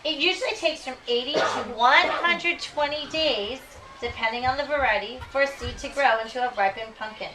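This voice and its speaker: A woman teaching young children, reading aloud expressively and deliberately and checking their understanding with questions. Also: high voice. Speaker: elementary school teacher. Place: school classroom. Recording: noisy classroom, distant microphone.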